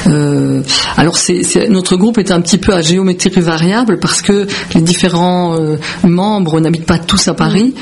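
A woman from Belgium with a creaky voice, answering as a musician in a radio interview in halting, repetitive phrases. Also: lowish voice, a little mellow, slight background noise. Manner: hesitant, halting phrasing with repeated words. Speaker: musician, founding member of a band.

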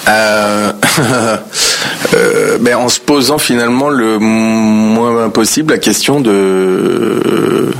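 Hesitant, gravelly man, low voice. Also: drawn-out words. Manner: hesitant, modest, self-effacing. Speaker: stage actor being interviewed. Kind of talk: casual interview answers. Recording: clear background noise, room ambience.

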